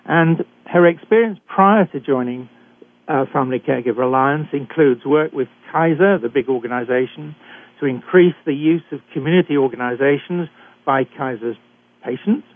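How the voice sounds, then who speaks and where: An older British man hosting a talk radio show, introducing guests in a measured, deliberate way with occasional hesitations. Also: mid-range voice. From Britain. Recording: dry, tinny phone-like line.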